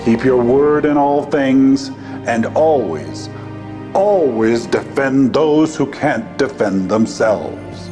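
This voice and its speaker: A man's voice: medium pitch, music in the background, lightly acted. A man voicing a solemn knight who swears in a new squire in an audio drama. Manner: slow, solemn oath-giving.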